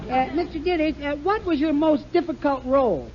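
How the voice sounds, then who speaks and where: An actor playing a flustered, bumbling young husband and father who stammers and rushes to please, in a 1950s radio sitcom. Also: very high voice, a crowd in the background, noisy background. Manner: stammering, nervous, eager to please. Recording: muffled old radio broadcast with studio audience laughter.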